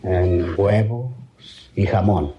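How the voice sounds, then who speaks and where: A man's voice: low voice, slow, muffled recording, noisy background. A man with a Mexican accent, a native Spanish speaker, giving short answers as a 1950s radio quiz contestant. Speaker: quiz show contestant, restaurant worker.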